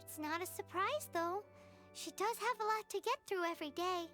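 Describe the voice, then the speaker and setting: A woman voicing a tiny, cheeky, childlike fairy companion in an anime-style video game, with a squeaky voice and blunt manner. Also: moderate pace, fairly bright tone, faint music behind. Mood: puzzled. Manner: refers to self in third person, blunt. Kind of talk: English anime game voice acting.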